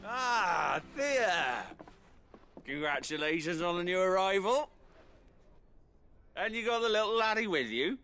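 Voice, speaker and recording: A man's voice: high voice, expressive, unhurried, pauses often, pushed and bright, full character voice. An actor playing a familiar, outgoing village townsman in a fantasy video game. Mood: hearty. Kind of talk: dramatic video game acting. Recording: music behind the voice.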